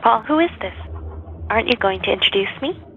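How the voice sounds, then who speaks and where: A woman's voice: a little high, lively intonation, fairly quick, phone-quality sound, slight background noise. An actress playing an earnest, pressing live-in girlfriend in an audio soap opera. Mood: probing. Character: earnest, probing live-in girlfriend, career-minded.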